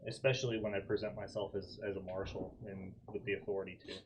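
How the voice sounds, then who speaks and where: Low-voiced man reasoning through a game. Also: flat delivery, fast talker. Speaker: tabletop game player. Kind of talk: casual in-game discussion. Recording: dull lo-fi recording with clear noise.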